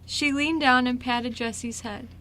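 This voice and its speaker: A woman's voice: on the high side, fairly even intonation, a little slow, pushed and bright. A young woman reading scripted narration for an amateur radio play. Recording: faint background noise.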